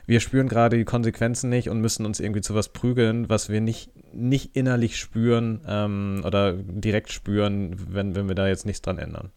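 Low-pitched man chatting casually. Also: fairly even intonation, normal speed, hardly any pauses, darkish tone, clear, resonant tone. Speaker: podcast co-host. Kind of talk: casual podcast chat.

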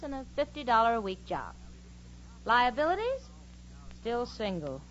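A woman's voice: high-pitched, fairly bright tone, full character voice. An American woman playing a sensible, sardonic single working woman in a 1940s radio comedy. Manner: sardonic, crisply theatrical delivery. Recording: muffled old radio broadcast, noisy studio audience.

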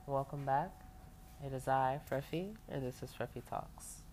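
Very low-voiced woman rambling on her podcast. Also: steady tone, measured pace, darkish tone, a little hiss or hum. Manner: rambling, filler-heavy, swears casually. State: stuffy from allergies. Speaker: podcast host. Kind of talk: casual solo podcast chat. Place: home, laundry dryer running. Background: lo-fi music playing under the voice.

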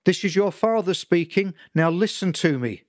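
A man playing an angry, lecturing father who talks slowly and emphatically. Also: high voice, lively intonation, a little mellow, no room sound, studio quality, pitched above the performer's own voice. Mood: stern lecturing. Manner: emphatic, slow and pompous.

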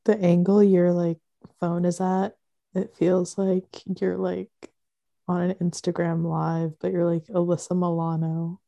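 A young woman in laid-back casual chat, reacting slowly and giggling often. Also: fairly low pitch, flat delivery, creaky, dark tone, no room sound, muffled recording.

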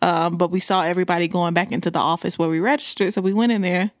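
A woman's voice: on the low side, steady tone, fast talker, no echo, clean studio sound. A pregnant woman in casual chat who laughs often and mimics typing sounds.

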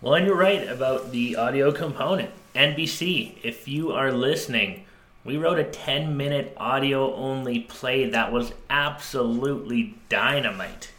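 Bright-voiced man podcasting in an echoey room. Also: mid-range voice, measured pace. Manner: candid, joking, self-deprecating. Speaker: podcast co-host. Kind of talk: casual comedic podcast chat.

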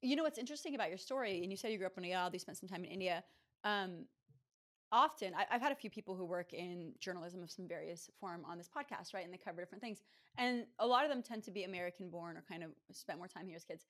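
Brief: woman podcast host, fast conversational questions, easygoing. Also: fairly low pitch. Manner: quick, lively questions with laughs. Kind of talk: casual interview conversation.

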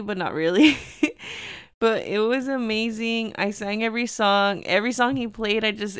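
A young woman hosting her own podcast, slightly hoarse and tired, chatting casually and telling a story with lots of "like". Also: medium pitch, dry recording, studio quality. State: tired from lack of sleep. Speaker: podcast host. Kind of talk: casual solo podcast chat.

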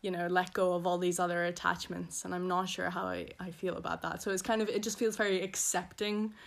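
A woman's voice: on the low side, little pitch movement, a little fast, creaky. A young woman with a Belfast accent, talking casually as an interview guest, rambling with many fillers. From Northern Ireland.

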